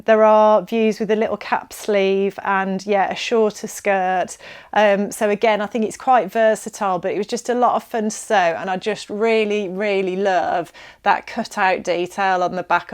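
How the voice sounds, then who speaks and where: A woman's voice: medium pitch, clean tone. A woman chatting casually and enthusiastically to viewers as she presents a product review video. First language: English. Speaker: presenter of a sewing shop's pattern review video.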